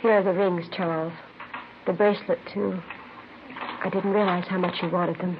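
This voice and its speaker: An American actress playing a grieving, forgiving wife in a soft, sorrowful 1950s radio drama style. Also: female voice, fairly low pitch, level tone, moderate pace, close to an everyday voice. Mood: gently forgiving. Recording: muffled, noisy 1950s radio recording.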